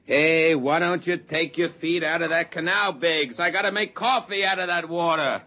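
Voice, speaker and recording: A man's voice: a little high, moderate pace, bright, forceful tone. An actor playing a plainspoken, folksy spaceman in a 1950s radio drama. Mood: easygoing. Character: easygoing male spaceman of Cherokee descent, plainspoken crewmate. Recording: faintly noisy vintage 1950s radio recording.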